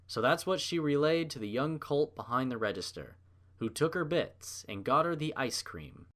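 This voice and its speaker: A man's voice: medium pitch, fairly bright tone. A man reading a scripted story as its narrator. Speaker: narrator of a fan fiction reading.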